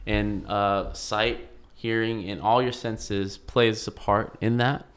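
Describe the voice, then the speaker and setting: A man co-hosting a podcast in casual chat, animated and quick to laugh. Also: on the low side, smooth. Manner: animated, laughs often, talks over his co-host. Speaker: podcast co-host.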